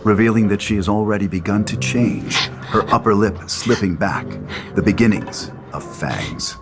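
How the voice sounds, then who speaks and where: Casting: male narrator for stage directions, deep register, dramatic storytelling over a clear musical score. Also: moderate pace, over music.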